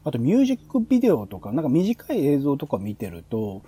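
Animated man with swooping pitch. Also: brisk, husky. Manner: thinking aloud in long, loosely linked sentences with frequent hedging. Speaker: podcast host. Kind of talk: casual explanatory monologue pitching show ideas.

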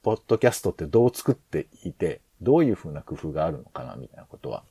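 Man thinking aloud, pitch swinging widely. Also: big pitch swings, fast talker, dark tone, slightly rough, no room sound. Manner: hesitant, rambling, thinks aloud. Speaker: podcast host. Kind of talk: casual planning discussion.

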